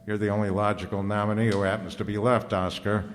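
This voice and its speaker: An actor playing a cool, wisecracking private detective in an old-time radio drama, with a sardonic, slangy delivery. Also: male voice, lowish voice, little pitch movement, fast talker, close to an everyday voice. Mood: accusing, sardonic. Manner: sardonic, slangy, hard-boiled. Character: cool wisecracking private detective, narrating his own case. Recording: reverberant hall.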